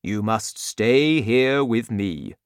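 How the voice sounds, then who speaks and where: A man voicing a huge, gentle giant in a storybook reading, speaking slowly and loudly. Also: lively intonation, clear, resonant tone, no room sound, studio quality. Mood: booming. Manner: slow, booming, deliberate.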